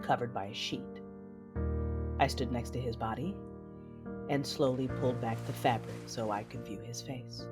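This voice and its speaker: An actress playing a vengeful female Sith lord, narrating her own story in an audio drama with intense, deliberate delivery. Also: extremely low pitch. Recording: music behind the voice.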